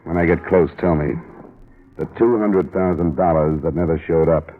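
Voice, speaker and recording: A man's voice: low-pitched, moderate pace, slight background noise, natural voice. A male actor with an American accent playing a terse, world-weary investigator in an old-time radio detective drama, clipped and pressing. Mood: accusing, blunt. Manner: clipped, pressing, matter-of-fact. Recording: tinny old radio recording, music.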